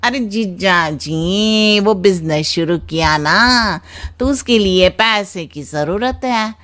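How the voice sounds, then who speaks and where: A woman voicing a sponging brother-in-law in a cartoon story, with a drawn-out, sluggish delivery. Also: medium pitch, fairly bright tone. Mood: sheepish, ingratiating. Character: slow, drawling brother-in-law asking for money.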